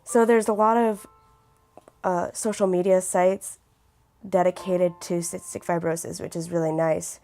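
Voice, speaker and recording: An eighteen-year-old woman with a raspy voice who keeps clearing her throat, speaking earnestly and reflectively in a documentary interview. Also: on the low side, level tone, measured pace, dark tone, faint music behind. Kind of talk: personal documentary testimony.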